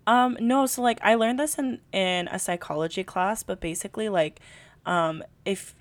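A woman's voice: medium pitch, moderate pace. A young woman from California, a podcast co-host, chatting casually in long rambling answers full of "like" and laughs. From California, USA. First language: Tagalog.

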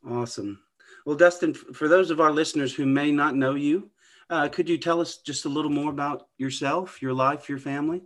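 A man's voice: fairly high pitch, a little fast, a bit bright. A man hosting a podcast interview, speaking in a friendly way with some hesitant restarts. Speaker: podcast host.

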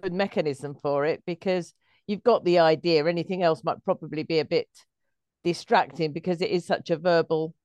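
Low-voiced woman, close dry sound. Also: steady tone, normal speed, a little mellow, no room sound, lo-fi sound. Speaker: guest reviewer, performer. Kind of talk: casual chat about a play.

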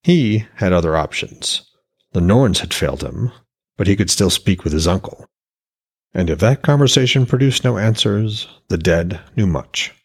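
Low, creaky-voiced male storyteller. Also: expressive, normal speed. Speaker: author and podcast narrator. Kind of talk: scripted fantasy story narration.

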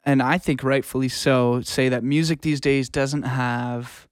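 A man's voice: mid-range voice, steady tone, measured pace, clean tone, no echo, clean studio sound. A man speaking as a music producer in a casual interview, explaining reflectively in plain terms and often checking in with the listener. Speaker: music producer and audio engineer, podcast guest.

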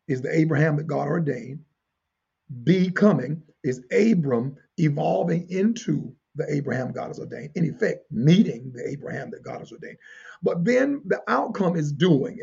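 Husky, high-pitched male preacher. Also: darkish tone, muffled recording. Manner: emphatic, deliberate, repeats key phrases, rhetorical questions. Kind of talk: teaching sermon to listeners.

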